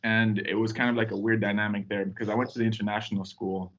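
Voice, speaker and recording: A young man from Tanzania chats as a podcast guest, wandering through his story with plenty of fillers. His voice sits a little low. The recording sounds dull and lo-fi. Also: little pitch movement, quick pace, fairly bright tone. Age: young adult. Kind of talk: casual podcast chat.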